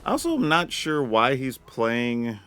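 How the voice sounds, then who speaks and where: A man with a raspy voice giving an upbeat, casual rundown of his card game deck as a channel host. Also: mid-range voice, huge pitch swings, measured pace, a bit bright. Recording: dry close mic with faint music.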